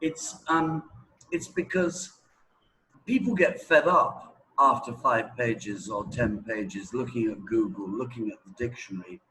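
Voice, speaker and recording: A man's voice: medium pitch, unhurried, pushed and bright, a little hiss or hum, low-quality recording. A man being interviewed as a language teacher, explaining things conversationally and didactically, often checking that the listener follows. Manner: didactic, checking with "right?", "okay?", "yeah?".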